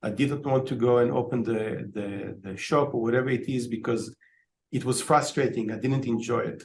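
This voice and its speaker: A man's voice: mid-range voice, fairly even intonation, fast talker, a little hiss or hum. Israeli-born man telling stories on video call. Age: 50s. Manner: storytelling, frequent ums and restarts. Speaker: guest, IT company owner. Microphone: laptop webcam on video call.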